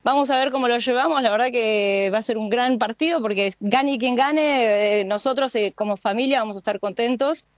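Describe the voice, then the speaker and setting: A woman's voice: on the high side, moderate pace, hardly any pauses, no room sound, studio quality. Argentine woman, hesitant, many fillers. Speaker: guest. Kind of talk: phone-in interview chat.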